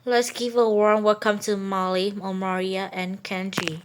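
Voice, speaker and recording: Slow, friendly woman podcast host. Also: mid-range voice, level tone, slight background noise. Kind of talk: scripted podcast introduction.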